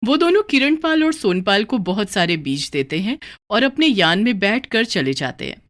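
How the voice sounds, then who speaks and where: A woman's voice: medium pitch, sing-song, moderate pace, a bit bright. A woman storyteller reading scripted narration for a children's moral tale.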